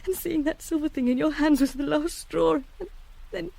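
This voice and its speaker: Young student in a radio play, soft mellow voice, earnest n guilty, halting, well spoken. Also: female voice, high voice, vocal fry, no room sound. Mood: shaken relief. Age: young adult. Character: earnest, guilt-ridden young woman student pleading for reprieve. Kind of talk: radio drama acting.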